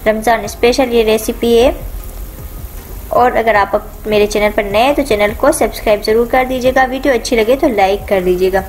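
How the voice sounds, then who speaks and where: A woman hosting a home cooking channel, calmly talking viewers through each step of a recipe in a voice-over. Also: fairly high pitch, moderate pace, soft background music, rough recording. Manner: calm, instructive, repeats each step.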